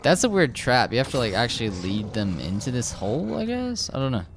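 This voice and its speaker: A young man, slightly hoarse, casually commenting over his gameplay, rambling with lots of fillers and restarts. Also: mid-range voice, big pitch swings, fairly quick, slight background noise. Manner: rambling, hesitant, frequent filler words and restarts. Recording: game gunfire loud behind voice. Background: shooter game sounds and gunfire.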